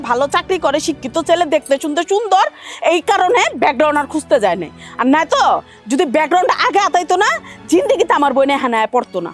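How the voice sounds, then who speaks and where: An actress voices a proud city woman who lashes out at her country in-laws in fast, bitter words. Her voice sits very high and swings up and down as she scolds. Faint music runs beneath the scene. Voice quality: sneering, scolding. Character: haughty city woman scorning rural in-laws. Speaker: actress in a family drama.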